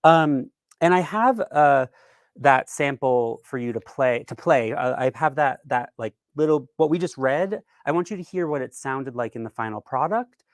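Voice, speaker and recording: A man's voice: medium pitch, lively intonation, moderate pace, no room sound. Man presenting informally, very dry sound. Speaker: writer-director giving a keynote talk. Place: virtual event, speaking from a dry room.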